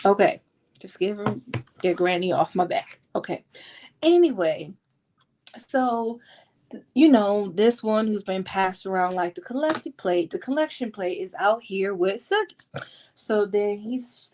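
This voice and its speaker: A woman's voice: medium pitch, animated, unhurried, darkish tone, a little hiss or hum. A woman giving a casual, chatty book recap in a playful, sassy, slangy way, like a vlog host. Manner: sassy and playful, slangy, acting out characters' lines. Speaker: book vlogger hosting a readalong discussion.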